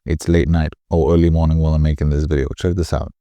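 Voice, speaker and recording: A man with a slightly nasal voice, casually talking through a software tutorial in a chatty, rambling way. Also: a bass voice, flat delivery, fast talker, dry recording. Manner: chatty, rambling, self-correcting. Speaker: tutorial host. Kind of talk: casual screen-recording tutorial.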